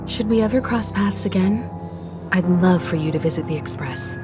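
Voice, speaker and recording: A woman's voice: lowish voice, normal speed, over music, natural voice. A woman voicing a poised, gracious leader. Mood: composed. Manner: calm, courteous and composed.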